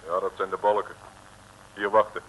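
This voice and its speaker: A man's voice: low voice, little pitch movement, moderate pace, dull audio, lightly acted. A man playing a surly, terse doorman in an old radio serial, dismissive and curt. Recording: noisy, crackly old radio recording.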